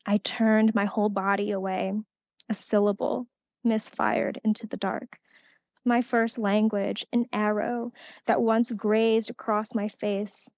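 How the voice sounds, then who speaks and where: A woman's voice: fairly high pitch, a little mellow, dry recording, clean studio sound. A young woman poet reading her poem aloud and then giving a casual talk with many fillers at a college event.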